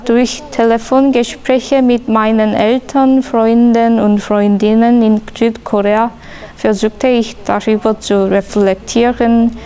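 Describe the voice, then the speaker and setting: A woman from South Korea with a Korean accent, presenting her own art work in a careful, measured, scripted way. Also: on the high side, dark tone. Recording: faint background noise.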